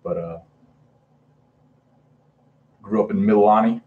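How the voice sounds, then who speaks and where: Chatty low-voiced man greeting stream viewers. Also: steady tone, a little slow, narrow, tinny audio. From Hawaii. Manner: chatty, reads and greets viewers, hesitant fillers. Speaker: comic book livestreamer. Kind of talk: casual livestream chat.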